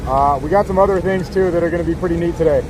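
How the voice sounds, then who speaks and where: A man with a hoarse, worn-out voice chatting casually to his live-stream viewers outdoors on a city street. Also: fairly high pitch, rapid-fire. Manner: chatty, laid-back, talks straight to viewers. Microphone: small clip-on mic. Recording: loud street noise outdoors.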